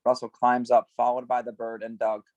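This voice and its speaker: A man's voice: medium pitch, completely flat delivery, fairly quick, darkish tone, dry recording, dull audio. A man reading stage directions at a script table read, giving scene headings some emphasis.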